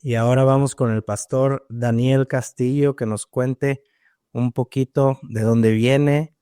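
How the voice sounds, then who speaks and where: A man's voice: medium pitch, steady tone, slow pace, mellow, smooth, no echo, clean studio sound. A man hosting a podcast interview, asking brief, friendly questions.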